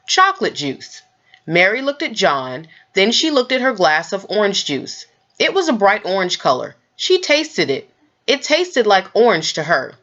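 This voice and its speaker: Woman reading a kids book out loud, really animated, bit of a dull lofi sound. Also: medium pitch, normal speed. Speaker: storyteller reading a children's book aloud. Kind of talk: expressive scripted narration.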